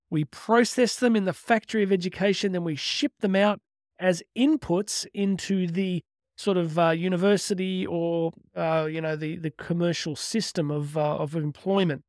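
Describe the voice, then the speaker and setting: A man's voice: high-pitched, steady tone, normal speed, a little mellow, no echo, clean studio sound. A man speaking as a motivational speaker on faith, earnest and emphatic, stretching key words and posing rhetorical questions. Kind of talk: motivational talk with religious teaching.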